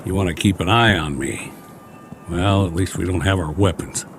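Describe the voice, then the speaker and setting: An actor playing a chatty, wry American soldier in a radio drama, talking in a folksy, rambling, joking way. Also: male voice, sits low, normal speed, music in the background, lightly acted. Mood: wry, teasing. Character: chatty, wry, friendly American soldier, lonely war survivor.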